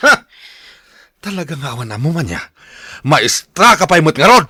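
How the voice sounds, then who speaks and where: A man playing a domineering middle-aged father in an audio drama, scolding and confrontational, with a rough husky voice. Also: fairly high pitch, sing-song, normal speed, pushed and bright, dry recording, clean studio sound, heavily acted. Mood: furious, indignant.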